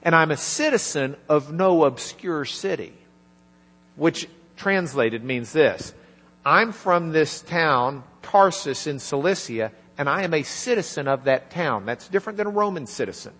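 A man preaching a sermon, retelling a scripture story in a conversational way and quietly acting out the lines of its people. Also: on the high side, expressive, a little slow. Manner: conversational, acts out dialogue in quiet asides. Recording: reverberant hall with mains hum.

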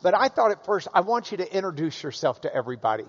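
Jovial male host, slightly high voice, reverberant hall. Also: very animated, fast. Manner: jovial, joking, many fillers. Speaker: host interviewer. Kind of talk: live stage interview. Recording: dull sound with long hall reverb.

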